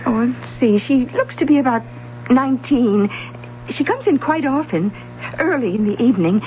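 An actress playing a hesitant, helpful and slightly puzzled library clerk in a radio drama, speaking thoughtfully. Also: female voice, high-pitched, lively intonation, normal speed. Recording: muffled, noisy 1970s radio broadcast recording.

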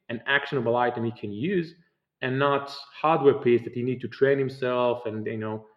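Man, Israeli accent, middle-aged, earnest long explanations, mid-pitched, roomy dull sound. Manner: earnest, explains at length with fillers and non-native phrasing. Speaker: startup founder and CEO, guest. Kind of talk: podcast interview answers.

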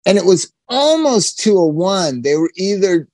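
A man's voice: sits high, sing-song, unhurried, darkish tone, no room sound. A man in his sixties, a podcast host telling rambling, profane stories in casual chat. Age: 60s.

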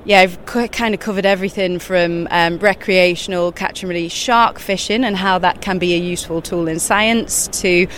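A woman talking as an interview guest at a busy exhibition show, animated and quick, often laughing mid-sentence. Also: on the low side, slight background noise. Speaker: guest, children's author and eco-journalist. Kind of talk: casual interview chat.

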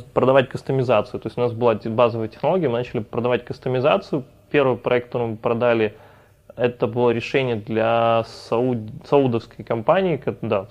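A man's voice: mid-range voice, a little mellow, muffled recording. A man, a company founder, talking casually in a podcast interview and rambling with frequent restarts. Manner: rambling, many restarts and fillers. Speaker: company founder and CEO guest.

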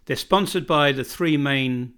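A man's voice: mid-range voice, a little slow, fairly bright tone, clear, resonant tone. A man from Britain co-hosting a casual podcast chat at home, rambling and often restarting his sentences. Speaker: podcast co-host. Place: home in northern Bosnia.

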